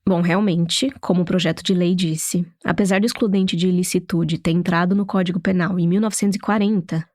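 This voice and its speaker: A woman reporter with a Brazilian Portuguese accent narrating a documentary story in a steady, storytelling manner. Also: lowish voice, a little fast, hardly any pauses, no echo, studio quality. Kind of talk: scripted narration.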